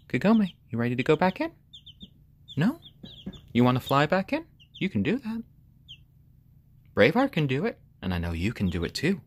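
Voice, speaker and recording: A man with a slightly hoarse voice, chatting casually and gently on a live stream from a room at home, in halting explanations and pet talk. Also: mid-range voice. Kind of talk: casual chat on a live stream, with cooing to pets. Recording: clear background noise, bird sounds.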